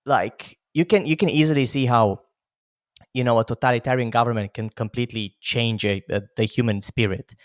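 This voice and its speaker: A man's voice: mid-range voice, fairly quick, no room sound, studio quality. A man in his thirties from Romania, a podcast host talking casually with many fillers. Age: 30s. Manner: chatty, lots of "like" and "you know" fillers. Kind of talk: casual conversation.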